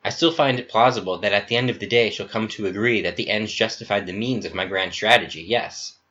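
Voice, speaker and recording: A man's voice: on the low side, fairly quick, pushed and bright, lo-fi sound, a little hiss or hum. A man voicing a smug, scheming Survey leader who talks in a cool, self-assured, chummy way.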